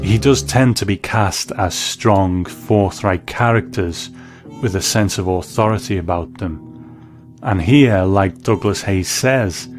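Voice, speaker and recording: Low-voiced man giving measured commentary over music. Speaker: host of a television review show. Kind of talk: scripted commentary. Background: plucked guitar music.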